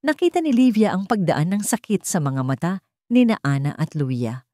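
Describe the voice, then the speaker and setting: Female storyteller, slightly low voice, dry close recording, scripted sentimental romance narration. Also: big pitch swings, moderate pace, dark tone, no room sound.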